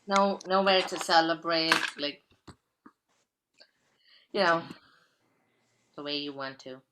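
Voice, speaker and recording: A woman making brief, low-key remarks in a casual video-call chat. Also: slow, background noise.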